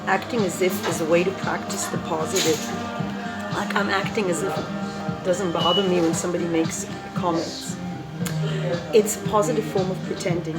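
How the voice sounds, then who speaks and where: Woman, loud voice, casual chatty reading, coffee shop, loud background music. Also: fairly low pitch. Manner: chatty, laughs between points, asides. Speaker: recovery vlogger.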